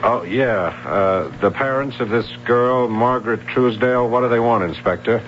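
An actor playing a weary, put-upon American police sergeant, gruff but humoring, in a 1970s radio drama. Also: male voice, mid-range voice, normal speed, lightly acted. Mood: exasperated. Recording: muffled old radio recording with hum.

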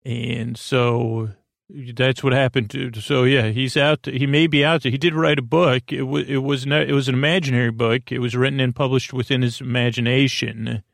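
A man hosting a sleep podcast with a creaky voice, meandering haltingly and often interrupting and repeating himself in a bedtime intro. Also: medium pitch, little pitch movement, no room sound, clean studio sound. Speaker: podcast host.

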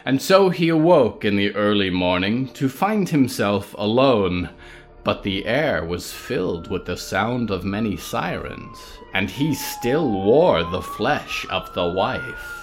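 A man narrating a literary fable in a deliberate, dramatic storytelling manner. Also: on the low side, huge pitch swings.

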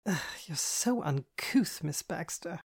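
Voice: medium pitch, lively intonation, normal speed, a little mellow, voice pushed up, lightly acted. A reader voicing a flamboyant, camp young man who speaks grandly and teases his friend, in an audiobook. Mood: teasing. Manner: grand, theatrical, teasing. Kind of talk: audiobook dialogue.

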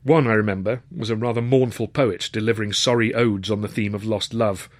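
A man's voice: on the low side, steady tone, brisk, no echo, studio quality. A man narrating a comic novel in the first person, with wry, literary phrasing. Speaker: author and narrator.

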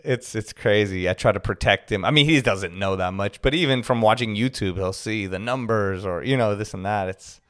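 A man hosting a podcast, a father who chats casually and asks animated, searching questions. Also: fairly low pitch, moderate pace, hardly any pauses. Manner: animated, questioning, laughs easily. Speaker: podcast host.

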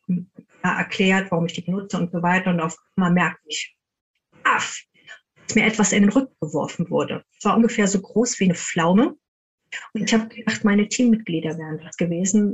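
Female interview guest, paranormal investigator, medium register, conversational anecdotes with quoted dialogue, slightly dull audio. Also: mid-range voice. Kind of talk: casual anecdotal storytelling.

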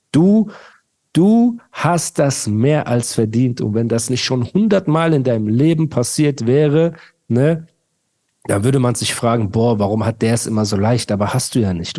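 A man's voice: mid-range voice, a little mellow. Heated, insulting young man on a podcast. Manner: heated, insulting, talks over the other. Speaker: podcast co-host. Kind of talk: casual podcast chat and rant.

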